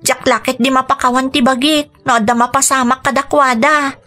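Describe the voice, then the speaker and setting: A woman's voice: high-pitched, fairly even intonation, brisk, sharp tone. A woman acting an earnest, dutiful and forgiving young migrant worker in an Ilocano radio drama. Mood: earnest resolve.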